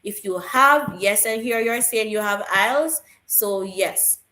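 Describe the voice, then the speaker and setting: A woman's voice: medium pitch, slow, over a noisy crowd. Echoey woman explaining teaching rules. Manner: repeats key points to stress them. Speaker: live stream co-host answering teacher questions. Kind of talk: casual live stream Q&A.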